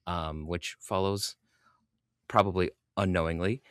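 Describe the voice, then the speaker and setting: A man's voice: low-pitched, steady tone, measured pace, fairly bright tone, smooth, no echo, studio quality. A man hosting a podcast, chatting casually as he interviews a guest.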